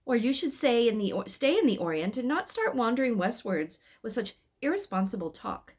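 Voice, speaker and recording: A woman voicing a gentle but scolding male angel in a storybook, deliberate and reproachful. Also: fairly high pitch, big pitch swings, voice pushed up. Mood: indignant.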